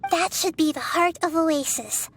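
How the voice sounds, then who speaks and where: A woman voicing a gentle, wise young goddess in a game, who speaks in a calm, measured and earnest way. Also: very high voice, slight background noise, full character voice. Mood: explaining. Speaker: game character. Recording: game audio with music behind.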